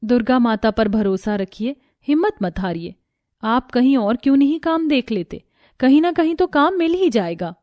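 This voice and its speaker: A woman voicing a gentle, sorrowful and devout young daughter-in-law in a moral story, soft and pleading in manner. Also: a little high, lively intonation, normal speed, no echo, clean studio sound, lightly acted. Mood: gentle, reassuring.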